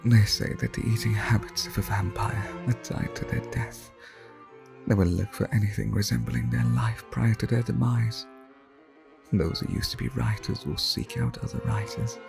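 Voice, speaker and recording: A man's voice: fairly low pitch, creaky. A man playing a suave, brooding vampire artist in an intimate ASMR roleplay, speaking formally and theatrically as he tells stories to the listener. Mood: seductive, teasing. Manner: slow, formal, theatrical storytelling. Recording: music behind the voice. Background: background music.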